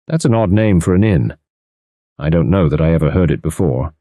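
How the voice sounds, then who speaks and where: A man voicing a fussy, excitable little elderly English gentleman with a prim, eager manner. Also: low voice, brisk, no room sound, clean studio sound. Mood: excited.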